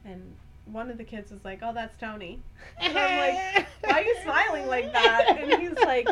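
Woman, podcast guest, casual storytelling, rambling, fillers, fairly high voice. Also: expressive, normal speed, a loud crowd. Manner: rambling, heavy use of "like".

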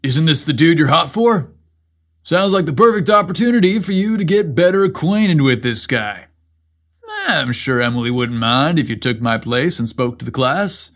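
A man's voice: on the high side, animated, normal speed, fairly bright tone. A man playing an easygoing male firefighter and dad, casual, teasing and encouraging, in a story reading.

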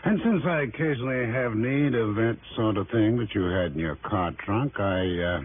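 A man playing a smooth, coolly menacing crook in a 1960s radio drama, with genteel, over-polite phrasing. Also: on the low side, normal speed. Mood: polite menace. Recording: tinny, noisy early-1960s radio recording.

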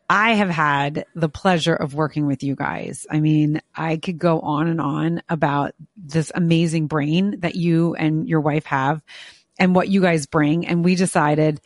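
A woman's voice: low-pitched, dry recording, studio quality. A woman hosting a podcast interview, chatty and enthusiastic, often joking at her own expense. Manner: chatty, self-deprecating, enthusiastic. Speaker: podcast host. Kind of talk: casual interview.

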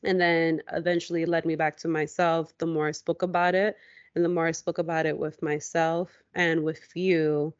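Woman, lowish voice, podcast co-host, reflective casual conversation, halting storytelling, dry slightly dull recording. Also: little pitch movement, dry recording. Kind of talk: reflective casual conversation about personal experience.

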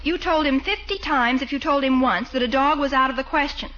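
Sweet teasing young niece, high bright voice, muffled old radio with some hiss. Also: female voice, a little fast. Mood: teasing, fond. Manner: affectionate, knowing, teasing. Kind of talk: 1940s radio comedy acting. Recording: muffled, noisy 1940s radio recording.

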